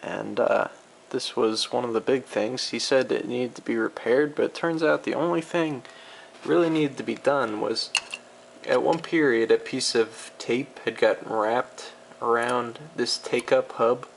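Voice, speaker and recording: A man with a gravelly, creaky voice walks through a piece of old gear in an offhand, unscripted way. His explanations ramble and halt as he goes. There is faint noise behind him in an amateur recording. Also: mid-range voice, fairly even intonation, unhurried. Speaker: hobbyist demonstrating vintage audio gear. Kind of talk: casual unscripted product demonstration.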